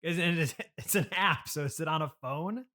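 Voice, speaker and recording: A man hosting a deadpan improvised comedy interview, asking halting, politely puzzled questions. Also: on the high side. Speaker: podcast host, interviewer.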